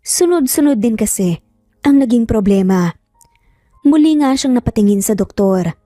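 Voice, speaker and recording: A woman's voice: on the high side, animated, moderate pace, dark tone, dry recording, clean studio sound, faint music behind. A woman narrating a scripted dramatic story.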